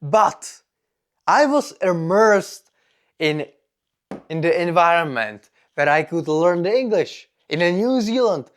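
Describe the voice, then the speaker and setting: A young man from the Czech Republic, a native Czech speaker with a strong Czech accent, gives a personal vlog monologue in deliberate, simple non-native phrasing. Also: high-pitched, lively intonation. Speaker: vlogger.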